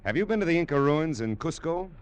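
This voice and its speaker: An actor playing a jovial, sly American tourist in a 1940s radio drama, with a hearty, insinuating manner. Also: male voice, on the high side, lively intonation, fast talker, natural voice. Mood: breezy. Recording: noisy old radio broadcast.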